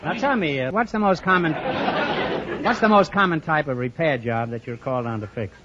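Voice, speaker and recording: A man's voice: on the high side, brisk, a loud crowd. A middle-aged American man hosting an old-time radio quiz show with jovial, teasing banter. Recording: muffled, very noisy vintage radio recording with clicks.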